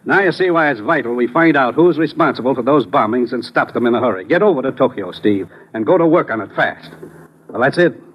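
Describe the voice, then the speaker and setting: An American actor playing a businesslike government commissioner in a 1950s radio drama, grave and dryly authoritative. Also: male voice, mid-range voice, expressive, brisk, husky. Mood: urgent, serious. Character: stern, businesslike male government commissioner briefing his agent. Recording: dull old radio recording.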